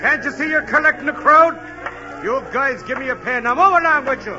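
An actor playing a gruff, bossy street cop in a 1940s radio drama, barking rough orders. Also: male voice, very high voice, quick pace, over music. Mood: annoyed. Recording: dull lo-fi old radio recording.

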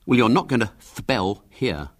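Man as schoolboy gang leader, raised pitch, bossy, hasty, scornful, storybook dialogue. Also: huge pitch swings, moderate pace. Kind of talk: audiobook character voice.

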